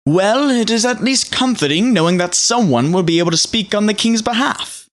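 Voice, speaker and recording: A woman's voice: medium pitch, lively intonation, moderate pace, close to an everyday voice. An actress playing an effusive, anxious council noblewoman in an audio drama, with earnest, exclamatory delivery. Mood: comforted. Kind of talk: scripted audio drama acting.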